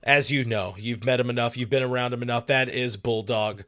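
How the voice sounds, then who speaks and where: A man with a smooth, resonant voice co-hosts a casual sports podcast. He jokes around and chats loosely, dropping plenty of filler words between thoughts. His pitch sits right in the middle for a man. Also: fairly even intonation, a little fast, a bit bright. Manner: joking, chatty, many filler words. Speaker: podcast co-host.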